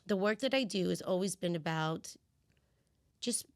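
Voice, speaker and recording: Lively first-generation woman coach, dry close sound. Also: on the low side, normal speed, no room sound. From United States, of Cuban parents. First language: Spanish. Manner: animated storyteller, acts out her own past voice. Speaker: guest, women's empowerment coach. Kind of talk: casual interview conversation.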